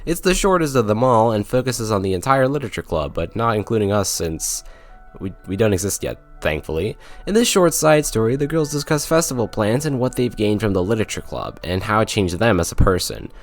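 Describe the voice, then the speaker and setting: A man's voice: fairly low pitch, sing-song, a little fast, faint music behind. A man narrating a scripted video game review in a wry, sarcastic way.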